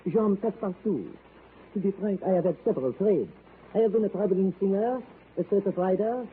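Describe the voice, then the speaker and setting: A man's voice: high voice, lightly acted. A man playing a polite French manservant. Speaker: actor in a radio play. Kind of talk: 1930s radio acting. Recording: tinny lo-fi 1930s radio broadcast, faint hiss.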